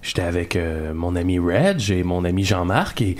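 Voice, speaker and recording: A man's voice: low voice, animated, moderate pace. A man playing a pompous Québécois lounge singer. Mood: mock-solemn. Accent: Québécois French. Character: Alain Couture, a washed-up middle-aged male lounge singer, self-serious. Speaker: guest.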